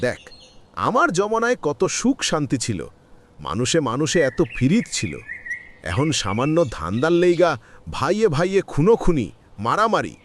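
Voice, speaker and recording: An actor playing a nostalgic, lamenting old village uncle in a cartoon, with a rural Bangladeshi accent. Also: male voice, a little high, huge pitch swings, normal speed, a bit bright, a little hiss or hum. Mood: aggrieved. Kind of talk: cartoon drama dialogue.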